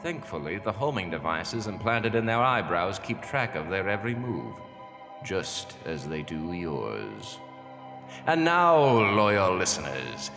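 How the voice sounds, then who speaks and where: Horror podcast announcer: low voice, swooping delivery, smug and theatrical, music underneath. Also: male voice, heavily acted. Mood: ominous. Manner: pompous, theatrical. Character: smug, all-knowing horror show announcer, male. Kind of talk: horror anthology radio announcing.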